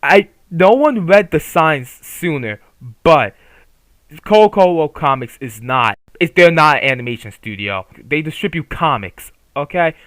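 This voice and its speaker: A man's voice: a little high, lively intonation, a little slow, fairly bright tone, no room sound. A man giving casual, opinionated commentary on a manga, swearing freely and rambling with restarts. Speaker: YouTuber reviewing a manga.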